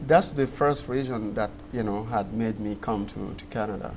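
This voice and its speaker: Gravelly-voiced man from Guinea, French native. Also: lowish voice, a little mellow, slight background noise. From Guinea, West Africa. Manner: earnest, measured, laughs easily. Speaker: guest. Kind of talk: casual interview answers.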